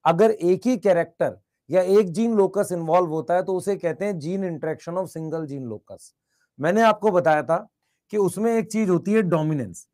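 A man teaching biology in an online class with a slightly hoarse throat, repeating his questions and urging students to answer quickly in the chat. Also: sits high, a little mellow.

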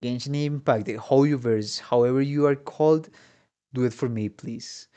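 A man's voice: medium pitch, normal speed, mellow. A man giving casual, exclamatory, profanity-laced reaction commentary. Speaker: musician reacting to game trailers. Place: small room at home. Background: game trailer music and voices.

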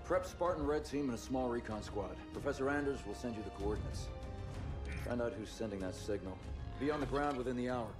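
An actor playing a stern, middle-aged starship captain in a game cutscene, measured and authoritative. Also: male voice, mid-range voice, expressive, close to an everyday voice. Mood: calm command. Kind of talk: cinematic game cutscene acting. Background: game soundtrack music.

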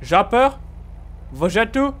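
A man's voice: extremely high pitch, big pitch swings, slow pace, a little hiss or hum. A man playing a video game live, chatting casually in a playful, teasing, slangy way. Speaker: gamer, video creator. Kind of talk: casual live gameplay trolling chat.

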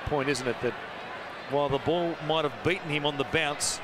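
A man calling a rugby league match live from a stadium commentary box, rising in excitement on big plays. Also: on the high side, moderate pace. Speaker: play-by-play commentator. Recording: broadcast with loud stadium noise.

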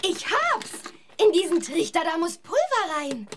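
Clever determined little girl in a kids audio play, super high lively voice, slight noise. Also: unhurried, full character voice. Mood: eager explaining. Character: bright, determined young girl, quick-thinking leader. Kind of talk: children's audio drama acting.

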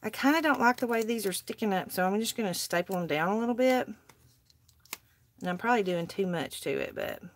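A woman's voice: mid-range voice, lively intonation, normal speed. A woman with a Southern US accent, chatting casually with viewers during a live crafting session at her table. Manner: chatty, talks to viewers while working. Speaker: craft livestream host. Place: indoor craft table, overhead camera.

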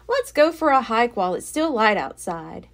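A kindly storybook mother llama, played by a woman reader, guides and encourages the young. Her voice is on the high side and very lively as she gives reassuring instructions. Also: moderate pace. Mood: gently encouraging.

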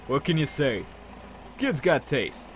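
A man's voice: fairly high pitch, slight background noise. An actor playing Clayton's part in an audio drama, a talkative, good-humored male drug dealer with a teasing, folksy manner. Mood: amused, wry.

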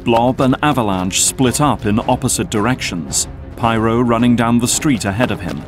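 A man's voice: mid-range voice, moderate pace, faint music behind. A man narrating an action audio drama from a script.

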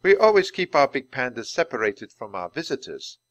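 Man voicing a grave keeper, measured, deadpan, bright tone, dry sound. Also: medium pitch, no room sound, lo-fi sound, voice pushed up. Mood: calm. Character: calm, serious adult male panda keeper.

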